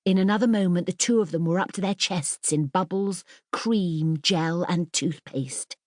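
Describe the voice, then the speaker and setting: Expressive woman narrator, slightly low, dry sound. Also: normal speed, dry recording. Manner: expressive storytelling. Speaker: audiobook narrator. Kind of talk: scripted children's story narration.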